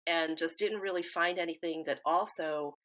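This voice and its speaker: Low-voiced woman speaking thoughtfully. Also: fairly bright tone. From East Coast of the United States. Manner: thoughtful, measured, with frequent fillers and restarts. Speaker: interview guest, grief support founder. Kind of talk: reflective interview talk.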